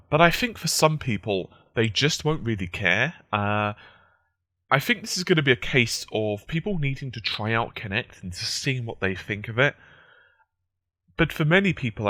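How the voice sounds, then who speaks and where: Croaky man with a bright, forceful voice. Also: medium pitch, lively intonation, moderate pace, no room sound. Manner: conversational, analytical. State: croaky, just woken up. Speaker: gaming news commentator. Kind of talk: casual tech news commentary.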